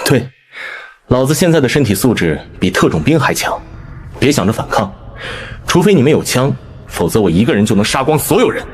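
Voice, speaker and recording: A man playing a domineering, foul-mouthed veteran survivor boss in animated drama, blunt and bullying. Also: mid-range voice, fairly quick, soft background music, close to an everyday voice. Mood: commanding.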